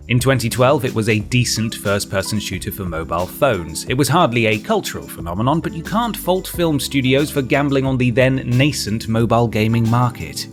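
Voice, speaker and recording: Man, list-show presenter, scripted comic narration, sarcastic, expressive intonation, faint music behind. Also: mid-range voice. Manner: dry, sarcastic. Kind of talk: scripted comedic countdown narration.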